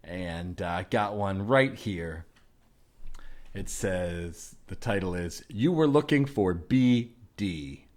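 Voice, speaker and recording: A man hosting a comedy podcast, casually introducing and reading aloud with a husky voice. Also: low voice, animated, slow pace, darkish tone.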